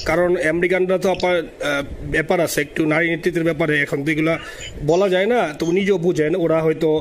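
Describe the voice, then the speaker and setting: Male, Bangladeshi accent, high pitch, eager deferential manner, repetitive phrasing, phone call, faint background noise. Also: steady tone, fast. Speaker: party supporter calling in. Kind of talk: informal phone conversation.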